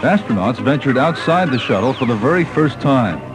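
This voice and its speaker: A man's voice: medium pitch, animated, moderate pace, rough recording. A man with an American accent reads scripted documentary narration, recorded on a 1980s cassette tape. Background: background music.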